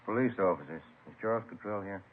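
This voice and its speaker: Brisk, low-voiced detective partner played by an actor. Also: male voice, natural voice. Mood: businesslike. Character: brisk male police detective, the sergeant's partner. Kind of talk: 1950s radio drama acting. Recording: muffled old radio recording, faint noise.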